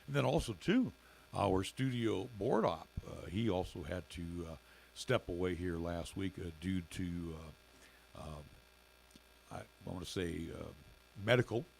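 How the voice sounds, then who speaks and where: A middle-aged man co-hosting a local radio show in casual chat, with a raspy, gravelly voice and halting speech full of fillers. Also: low voice, expressive, darkish tone, a little hiss or hum. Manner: halting, many fillers and restarts. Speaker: radio station owner and co-host.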